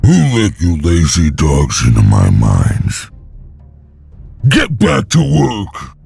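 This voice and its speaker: A villainous slave driver from a fantasy game, extremely gravelly and very hoarse-edged. He bullies and taunts in a deep voice that swoops dramatically. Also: huge pitch swings, slow pace, a little mellow, faint music behind, heavily acted. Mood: angry, bullying. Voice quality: gravelly, raspy. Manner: bullying, taunting, theatrical. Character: cruel, sneering male serpent taskmaster, bullying slave miners.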